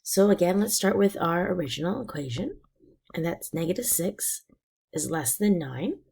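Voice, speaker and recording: Raspy-voiced woman teaching a math lesson. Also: on the low side, animated, moderate pace, a little mellow. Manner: patient, step-by-step explaining. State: hoarse from illness.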